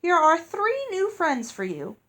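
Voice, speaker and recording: Woman playing an older headmistress, high lilting voice, genteel, gushing, formal, storybook character. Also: wildly swooping pitch, measured pace, fairly bright tone, heavily acted. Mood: gracious. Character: effusive, proper gray-haired headmistress of finishing school.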